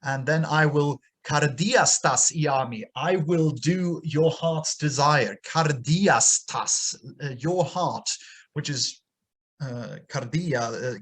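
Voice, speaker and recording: Slow, husky-voiced man lecturing. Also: mid-range voice, fairly bright tone. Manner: hesitant, thinking aloud with pauses and repeats. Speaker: scholar reading and explaining an ancient text. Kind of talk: informal lecture with translated readings.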